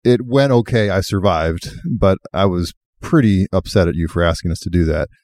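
Low-voiced chatty host man, dry sound. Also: normal speed, a little mellow, no room sound. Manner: self-deprecating, chatty. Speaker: podcast co-host. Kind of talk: casual podcast hosting.

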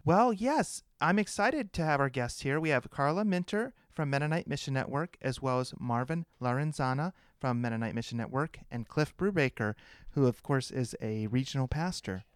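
A man's voice: mid-range voice, expressive, moderate pace, clean tone, no room sound, clean studio sound. A man on conference staff, explaining an initiative in an upbeat way on a podcast. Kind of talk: podcast interview.

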